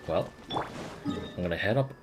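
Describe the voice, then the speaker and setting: A man's voice: deep voice, lively intonation, takes it slowly, over loud music. A man giving casual, profane and sardonic live commentary as he plays through a video game and reads bits aloud. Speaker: game streamer.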